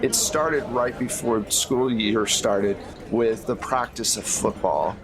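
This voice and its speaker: A man's voice: medium pitch, slow pace, music in the background, poor audio. A man speaking as game master and host of a tabletop game, narrating and explaining off the cuff in a casual way.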